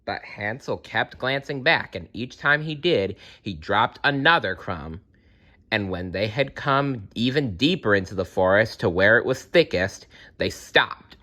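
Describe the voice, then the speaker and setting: A man reads a fairytale aloud for children. His voice is a little low in pitch but bright and forward. He is expressive and talks straight to his young listeners. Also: measured pace. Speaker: storyteller.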